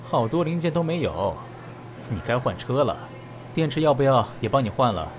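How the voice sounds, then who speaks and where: A man's voice: medium pitch, big pitch swings, normal speed, a little hiss or hum, natural voice. A man playing a brash, nosy garage mechanic in an audio drama, talking in a blunt, slangy, familiar way. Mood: blunt, teasing.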